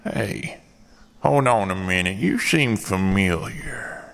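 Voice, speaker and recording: An actor playing a small-town police officer who turns into a gushing, starstruck fan. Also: male voice, deep voice, slow, gravelly, exaggerated voice. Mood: stern. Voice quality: excitable, gushing, squeals with delight.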